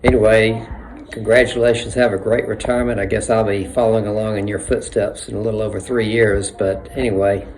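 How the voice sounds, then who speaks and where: A man's voice: on the low side, level tone, brisk, a little mellow, rough recording. A man in his sixties giving a plain, reminiscing congratulations in a phone video. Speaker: retiring doctor's colleague. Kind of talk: video message. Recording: faint background noise.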